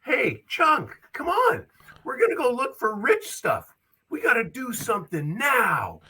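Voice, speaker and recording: An amateur reader playing an anxious, pleading young kid adventurer with a raspy voice in a script table read. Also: mid-range voice, wildly swooping pitch, a little slow, bright, forceful tone, exaggerated voice. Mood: urgent.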